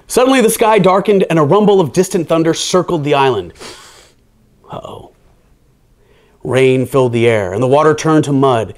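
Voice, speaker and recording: A man's voice: on the high side, normal speed, long pauses. A man hosting children's storytime, reading a picture book aloud with playful, theatrical delivery and casual asides.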